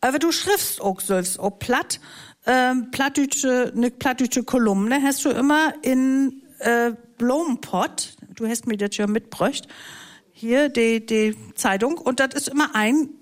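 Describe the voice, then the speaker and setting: Chatty woman host, higher voice. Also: measured pace. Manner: chatty, prompting guests to tell stories. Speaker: talk show host. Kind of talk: casual interview, mixing in Low German.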